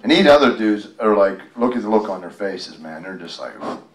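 A man's voice: on the low side, expressive, brisk. A man reading a picture book aloud to a group, chatty and folksy, with rambling ad-libbed asides to his listeners. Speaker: guest storyteller, tribute performer. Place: public library reading event. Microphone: handheld microphone. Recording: roomy, echoey, distant microphone pickup.

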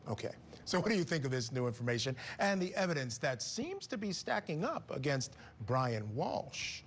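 A middle-aged man hosting a TV comment segment in a studio, talking with an animated, conversational manner on a lapel mic. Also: fairly high pitch, normal speed, a little hiss or hum. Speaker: TV news host.

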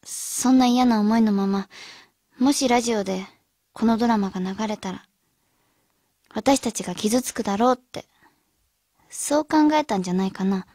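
A woman's voice: on the high side, moderate pace, pauses often, dark tone, no echo, clean studio sound, lightly acted. A woman acting as an earnest teenage schoolgirl who leads her club friends in a radio drama. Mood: earnest, gentle.

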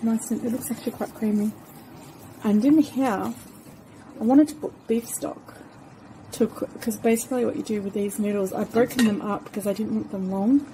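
A woman's voice: on the high side, fairly even intonation, moderate pace, slight background noise, rough recording. A woman casually talking through her cooking in a home kitchen, chatty with trailing fillers, filmed on a handheld phone. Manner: chatty, trailing fillers, sometimes soft and near-whispered. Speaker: cooking vlogger. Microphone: handheld phone camera.

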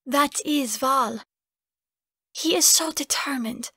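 Fantasy sister female narrator: young, tender, pleading, high voice, dry audiobook sound. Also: takes it slowly, pushed and bright, dry recording, heavily acted. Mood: wistful, fond. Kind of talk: audiobook character acting.

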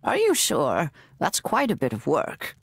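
A woman playing a feisty but kindly elderly witch grandmother in a video game, with a folksy, wry, unhurried manner. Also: deep voice, huge pitch swings, creaky, slightly rough, exaggerated voice. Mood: gentle, folksy.

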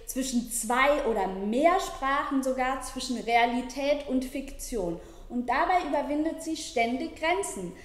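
A young woman, a university lecturer, presenting and then reading an essay aloud in a measured, careful way, seated at a table in an ornate room. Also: high voice. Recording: echoey room.

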